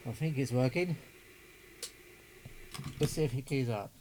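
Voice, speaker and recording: Man, middle-aged, unhurried muttering hobbyist presenter, average pitch, slightly noisy home-made recording. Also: dark tone. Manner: muttering to himself, rambling. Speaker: hobbyist presenter unboxing a CB radio. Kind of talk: casual hands-on unboxing chat. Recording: clear background noise.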